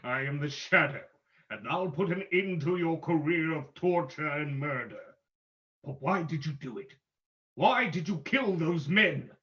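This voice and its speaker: A man's voice: on the high side, lively intonation, unhurried, a bit bright. A man playing a stern, commanding crime-fighting hero in a dramatic old-time radio drama reading. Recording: dull lo-fi sound.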